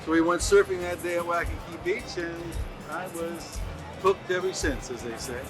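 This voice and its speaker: An older man at an outdoor surf festival, telling a memory haltingly, with many pauses and fillers. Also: a little high, music in the background. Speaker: interviewee. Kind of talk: casual storytelling.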